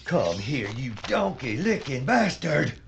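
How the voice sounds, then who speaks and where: An actor playing a ruthless, half-blind outlaw boss who talks in a slow, menacing drawl. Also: male voice, mid-range voice, big pitch swings, creaky, background noise. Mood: raging.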